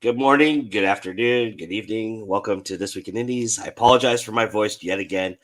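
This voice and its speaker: A man hosting an online panel show, his voice hoarse with a little growl, talking in a rambling, self-deprecating way. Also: fairly low pitch, fairly quick, fairly bright tone, poor audio. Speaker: host.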